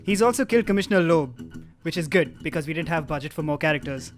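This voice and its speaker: A man narrating stage directions from a script in a deadpan, wry way, with comic asides. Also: fairly high pitch, quick pace. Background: live guitar music.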